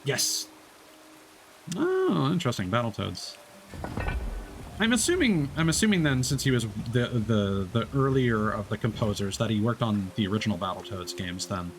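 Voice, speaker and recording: A man's voice: medium pitch, big pitch swings, moderate pace, music in the background. A man doing casual live gameplay commentary, chatty and thinking aloud. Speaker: game streamer.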